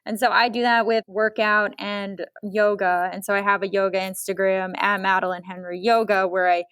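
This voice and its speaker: A woman's voice: medium pitch, level tone, moderate pace, fairly bright tone, smooth, no echo. A woman speaking as a guest novelist in a casual interview, with an effusive, emphatic way of talking.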